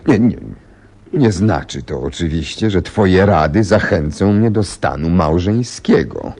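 An actor playing a shrewd, confident detective in a Polish radio play, polite and theatrical in manner. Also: male voice, lively intonation, unhurried, darkish tone, full character voice. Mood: wry, humbled. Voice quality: very deep, gravelly, husky.

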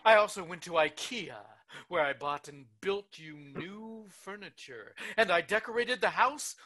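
A performer at a comedy table read playing an eager, clingy, lovesick man who runs a funeral home, with halting, drawn-out emphasis. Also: medium pitch, animated, sharp tone. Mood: eager, proud.

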